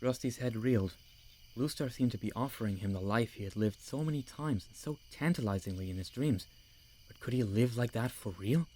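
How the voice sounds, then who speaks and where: A man's voice: medium pitch, a little fast, mellow, a little hiss or hum. A man reading scripted story narration as the narrator of an audiobook.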